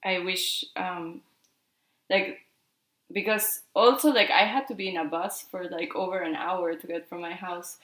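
A woman's voice: on the low side, fairly even intonation, a little slow, fairly bright tone, echoey room. A woman in her twenties from Peru, Spanish her first language, chatting casually as an interview guest and often tagging sentences with "no?". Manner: chatty, lots of "like" and tag "no?". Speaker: podcast guest.

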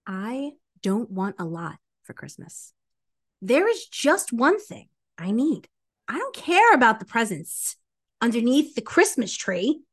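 Melodramatic woman, high swooping voice. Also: big pitch swings, measured pace. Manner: melodramatic, exaggerated delivery. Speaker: podcast co-host. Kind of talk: playful, theatrical dramatic reading of song lyrics.

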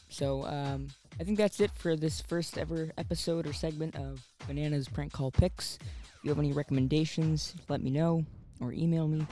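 A man hosting a podcast in a casual, unscripted monologue, rambling a little and halting as he goes. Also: fairly high pitch, mellow. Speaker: podcast host.

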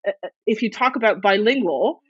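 A woman's voice: mid-range voice, expressive, brisk, slightly rough, dry recording, dull audio. A woman whose first language is Dutch, a podcast guest chatting thoughtfully with frequent restarts and fillers. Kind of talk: casual interview chat.

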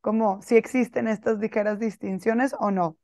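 A woman's voice: medium pitch, steady tone, normal speed, warm tone, no room sound. Woman host, dry close sound. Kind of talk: casual interview chat.

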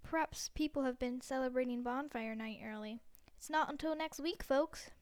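A woman's voice: high voice, normal speed, clear, resonant tone, close to an everyday voice. A woman reading aloud, voicing a chatty, jokey male television weatherman. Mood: breezy. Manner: breezy, jokey.

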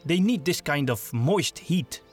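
A man's voice: a little high, lively intonation, a little slow, husky, soft background music, pitched above the performer's own voice. A man voicing a practical, knowledgeable male traveler who speaks matter-of-factly.

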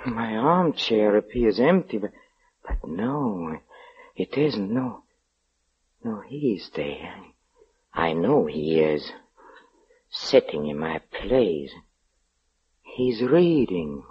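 Haunted, halting man in radio horror. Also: lowish voice, big pitch swings. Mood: frightened suspense. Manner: halting, breathy stammering, repeating words. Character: fearful, obsessed haunted man, unravelling into mania. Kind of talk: 1940s radio horror drama acting. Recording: muffled old radio recording with background noise.

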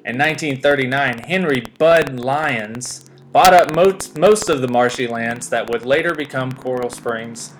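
A man's voice: mid-range voice, measured pace, slight background noise, poor audio. A man from Tennessee hosting a casual podcast, chatty, reading facts aloud to his guest. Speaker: podcast host.

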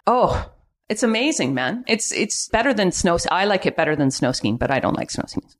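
A middle-aged woman with a Canadian accent, chatting casually and reminiscing as an interview guest, quick to laugh. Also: on the low side, sing-song. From Vancouver, Canada. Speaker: podcast guest, cookbook author.

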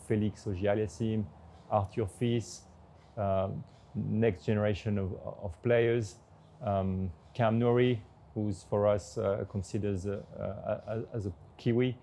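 A man's voice: on the low side, dark tone, slight background noise. A man who runs a tennis tournament, answering interview questions hesitantly with lots of fillers. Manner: hesitant, many fillers and restarts.

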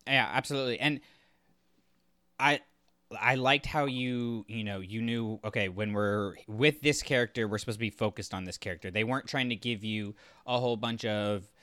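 A man's voice: fairly low pitch, normal speed, pushed and bright, dry recording. A man co-hosting a podcast, talking through a story in a casual, analytical and explanatory way. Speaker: podcast co-host. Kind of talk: casual book discussion.

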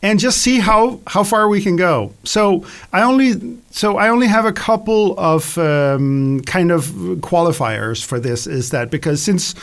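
Man, host, casual chat, rambling, slightly high pitch. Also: lively intonation, measured pace, hardly any pauses. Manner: rambling, restarts a lot.

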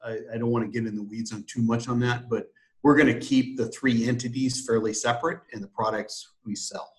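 A man's voice: medium pitch, flat delivery, brisk. A man, a sales director, explaining plans in an interview, hesitant with many fillers. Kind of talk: conversational business interview.

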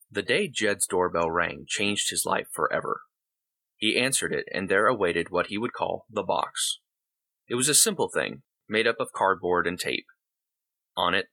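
A man's voice: deep voice, creaky, sharp tone, husky. A man reading a short story aloud as scripted narration. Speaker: story reader.

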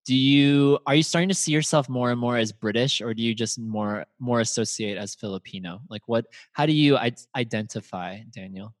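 A man's voice: mid-range voice, a little fast, few pauses, dry recording, studio quality. A man hosting a casual podcast, upbeat and chatty, laughing often as he talks with a guest over a call. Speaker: podcast host.

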